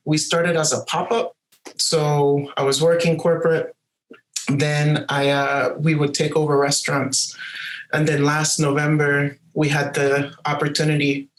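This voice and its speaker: Restaurant-owner guest from Puerto Rico, man, fairly high voice, friendly chat. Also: a bit bright, low-quality recording. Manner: friendly, slips into Spanish phrases. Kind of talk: casual interview storytelling.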